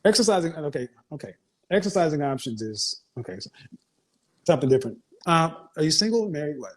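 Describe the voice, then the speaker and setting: A man's voice: a little high, very animated, brisk. A man hosting a call-in advice show, questioning a caller bluntly and cutting in often. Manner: blunt, interrupting, interrogating. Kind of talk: call-in interview.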